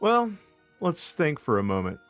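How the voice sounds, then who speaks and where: A man's voice: a little high, huge pitch swings, unhurried, no room sound. Dry-sounding male storyteller over music. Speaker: podcast co-host. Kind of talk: storytelling, reading a comic tale aloud.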